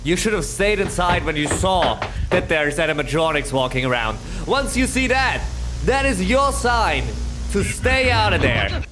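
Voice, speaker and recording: A man's voice: high-pitched, sing-song, moderate pace, background noise. A man giving casual reaction commentary as host, tossing in dry, teasing remarks at what he is watching.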